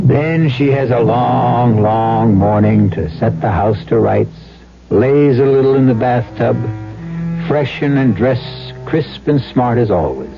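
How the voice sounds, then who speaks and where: A man introduces a 1970s radio mystery. He speaks slowly and dramatically at a medium pitch. Music plays under the dull, lo-fi recording. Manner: measured, deliberate, dramatic. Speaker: host and narrator.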